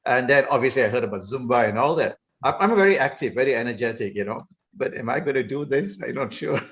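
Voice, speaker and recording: A man chatting on a video call, talkative and quick to laugh. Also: mid-range voice, lively intonation. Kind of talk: casual video call chat. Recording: dull video call audio.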